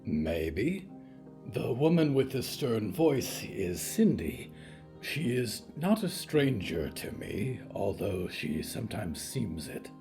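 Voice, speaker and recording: A man voicing a gentle, matter-of-fact undead character with stilted, formal, careful wording in an audio drama. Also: on the low side, gravelly, heavily acted.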